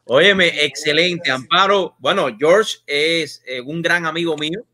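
A man co-hosting an interview, chatting in a lively way with quick affirming interjections. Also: fairly high pitch. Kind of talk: casual interview chat.

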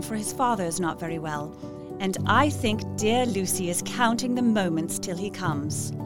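A woman's voice: medium pitch, close to an everyday voice. A woman playing an anxious, wistful young Victorian lady in an audio drama, reading her journal in a proper, earnest way. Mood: fond. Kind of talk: dramatic first-person journal narration.

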